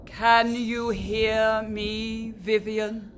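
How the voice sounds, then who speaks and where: A woman's voice: on the high side, level tone, extremely slow, slight background noise. An actress playing a severe, watchful, haunted lady of a Victorian house in a radio play, commanding and theatrical. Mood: hushed, commanding.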